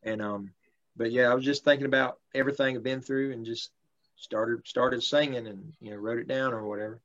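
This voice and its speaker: A man's voice: medium pitch, a little fast. Laid-back man, thirties. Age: 30s. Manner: relaxed, polite, many fillers. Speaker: country singer guest. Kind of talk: casual interview chat. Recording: slightly dull lo-fi sound.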